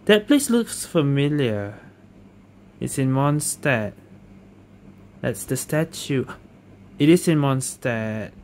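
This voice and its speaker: A woman's voice: very deep voice, animated, slow pace, long pauses, a little mellow, a little hiss or hum. A woman doing casual gameplay commentary, thinking aloud and repeating herself. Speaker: gaming streamer. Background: game music.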